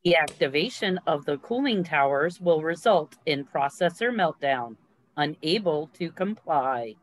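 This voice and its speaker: Guy doing computer announcement voice, male, kinda high, measured n formal warnings, script table read. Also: expressive, rough recording. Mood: impassive warning.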